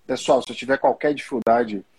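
A man's voice: medium pitch, fairly quick, a little mellow, husky. A man from Maceió with a Northeastern Brazilian accent, interviewing a guest in a casual, joking, self-deprecating way. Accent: Northeastern Brazilian (Alagoas). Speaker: interviewer and speaker. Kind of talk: casual conversation.

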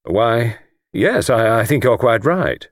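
A man playing a polite, sceptical English policeman in an audiobook, speaking in a measured, laconic and courteous way. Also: medium pitch, animated, no room sound, clean studio sound. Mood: careful, humouring. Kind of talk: audiobook character reading.

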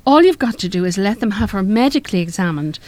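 Radio drama: a woman acting a firm, defiant wife, very expressive range, mid pitch. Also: fast talker, no room sound, lightly acted. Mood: firm reassurance. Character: forthright, defiant married woman in a refuge, firmly dismissive. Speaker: radio play actor.

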